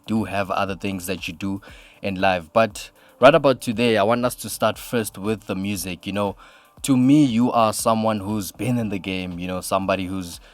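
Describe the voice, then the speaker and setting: A man's voice: lowish voice, no echo. A man hosting a radio show and interviewing a guest, friendly and complimentary, leaning on "you know" a lot.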